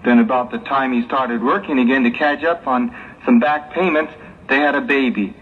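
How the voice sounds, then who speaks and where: A man's voice: medium pitch, moderate pace, husky, close to an everyday voice. Man acting earnest young man, tinny old recording. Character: earnest engaged young man soberly weighing his plans. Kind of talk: 1960s religious audio drama acting. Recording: muffled, hissy 1960s tape, phone-like.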